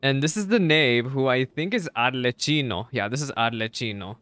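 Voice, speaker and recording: A man's voice: mid-range voice, expressive, moderate pace, fairly bright tone, no room sound. A young man giving casual live reaction commentary as a streamer, close on the mic. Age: young adult. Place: indoor streaming setup. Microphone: close desk microphone.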